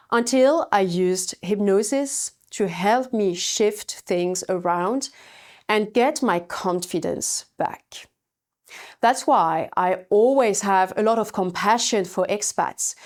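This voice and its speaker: A woman's voice: medium pitch, darkish tone. A woman with a French accent, a language coach telling her own story in a webinar, in an earnest, personal way. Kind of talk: webinar talk.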